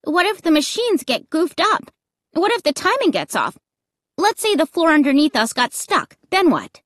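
A woman's voice: very high-pitched, big pitch swings, drawn-out words, sharp tone, no room sound, clean studio sound, pitched above the performer's own voice, full character voice. A woman voicing an anxious, skeptical child in a children's scary audiobook, emphatic and questioning. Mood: worried, demanding.

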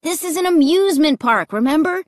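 An excitable young boy from a children's storybook , acted out by a woman, cocky and hungry for thrills. The voice is high and bouncy, with eager, insistent emphasis. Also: brisk, dry recording, clean studio sound.

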